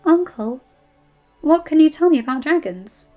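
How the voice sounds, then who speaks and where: Woman as a high-voiced, bold young noblewoman. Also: lively intonation, moderate pace. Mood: eager, curious. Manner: direct, formal, earnest. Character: young noblewoman, bold, commanding, earnest and curious. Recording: muffled audio with music.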